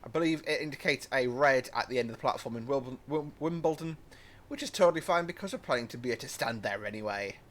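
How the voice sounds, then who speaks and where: A man's voice: medium pitch, lively intonation, fast, hardly any pauses, fairly bright tone. Young british man hosting a train game, rambling and chatty. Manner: rambling and chatty, apologetic asides. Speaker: gaming channel host. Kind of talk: casual train-sim let's-play commentary.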